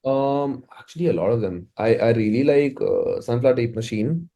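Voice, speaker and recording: A man's voice: mid-range voice, expressive, moderate pace, lo-fi sound. A man with an Indian accent chatting casually in an interview as a musician, often repeating words as he forms his thoughts. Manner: informal, stammering repetition of words as thoughts form.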